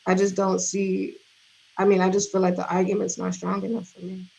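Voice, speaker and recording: A woman's voice: medium pitch, monotone, a little fast, gravelly, dark tone. A woman from Nigeria, talking casually on a discussion panel, chatty and full of asides. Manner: chatty, uses many fillers and asides. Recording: dull lo-fi sound.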